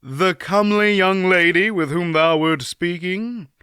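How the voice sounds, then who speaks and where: A man voicing a chivalrous, formal-spoken knight, a little raised and bright. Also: high-pitched, a little slow, clean tone, no echo, clean studio sound, voice pushed up. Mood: earnest. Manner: old-fashioned formal speech. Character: boastful chivalrous male knight, formal, archaic.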